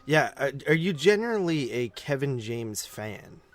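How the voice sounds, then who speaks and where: A man co-hosting a movie podcast, talking casually in a halting, noncommittal way. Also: medium pitch, very animated, soft background music.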